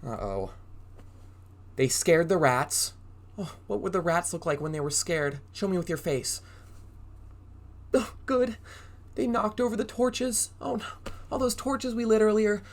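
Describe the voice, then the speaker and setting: Animated man reading to young children. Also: high voice, a little slow, long pauses. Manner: animated, exaggerated, prompting child listeners with questions. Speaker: preschool drama teacher reading a storybook aloud. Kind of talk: interactive children's read-aloud.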